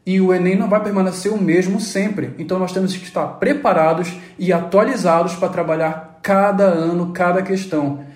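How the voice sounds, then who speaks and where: A man's voice: sits high, steady tone, a little fast, darkish tone, reverberant. A man teacher lecturing students emphatically during an educational panel talk.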